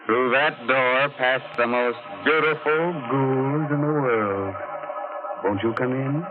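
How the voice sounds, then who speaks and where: An actor playing a macabre horror host and narrator, theatrical and tongue-in-cheek, in 1940s radio style. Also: male voice, medium pitch, slow pace, natural voice. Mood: ghoulishly playful. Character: macabre, sardonic horror host telling his ghostly tale. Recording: tinny 1940s radio recording with music.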